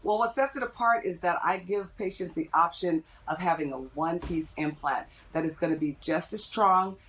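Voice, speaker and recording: A middle-aged woman with a slightly low voice gives measured explanations as an expert guest. She sounds like a dentist being interviewed on television, laying out information step by step. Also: a bit bright, slight background noise, poor audio.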